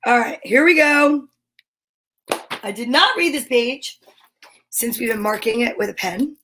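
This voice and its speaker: A woman hosting an online story time, speaking in a playful, expressive way as if chatting casually with viewers. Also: fairly high pitch, unhurried, a little hiss or hum. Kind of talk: casual livestream chat with short read-aloud passages.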